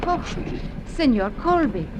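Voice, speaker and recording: An actress playing a demure, polite young South American nurse with a Spanish accent in an old-time radio drama. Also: female voice, sing-song, slow, full character voice. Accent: Spanish-accented English. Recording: vintage radio recording with clear rumbling noise.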